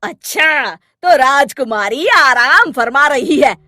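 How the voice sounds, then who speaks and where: A woman playing a harsh but easily cowed stepmother in a sharp, nagging, theatrical manner. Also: high voice, animated, takes it slowly, heavily acted. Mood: scolding.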